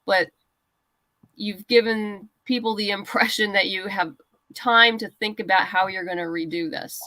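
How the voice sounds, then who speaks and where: A woman's voice: mid-range voice, steady tone, unhurried, sharp tone, no room sound, rough recording. A woman speaking as a guest creator in a casual interview, giving practical advice in a conversational way. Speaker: guest writer and comic creator. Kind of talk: casual interview chat about crowdfunding.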